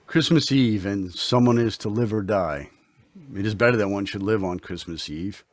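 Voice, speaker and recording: An actor playing a kindly, serene doctor in an old-time radio drama, speaking in an earnest, formal, uplifting way. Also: male voice, fairly low pitch, moderate pace, dry recording, natural voice. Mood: gentle, hopeful. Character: kindly, serene, mysterious male hospital doctor.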